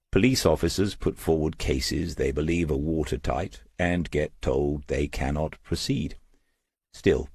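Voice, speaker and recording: A man voicing a shrewd retired police detective in an audiobook, wry, understated and deliberate. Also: a bass voice, gravelly, darkish tone, husky, no echo, studio quality, full character voice.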